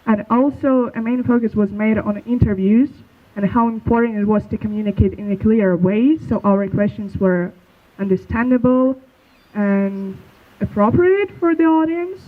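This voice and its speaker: A young man from Belarus presenting a school project in a casual, slightly halting way. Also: high voice. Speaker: student presenter. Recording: tinny phone-like sound.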